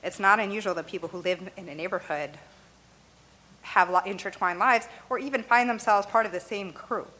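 A woman's voice: medium pitch, a little fast, pushed and bright. A woman whose first language is Arabic, explaining her views in a loose, conversational way with frequent "you know" and "right?" and light laughs. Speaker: interviewee.

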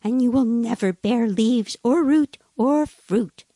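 A woman's voice: a little high, slow pace, mellow, no echo, clean studio sound. A woman voicing an irritable, imperious five-year-old boy in a dramatic storybook read-aloud. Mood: irritated, scolding.

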